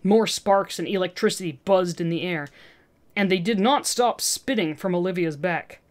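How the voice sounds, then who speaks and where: A woman's voice: on the low side, moderate pace, a little mellow. A woman reading fiction aloud as narrator, in a dramatic scripted storytelling style.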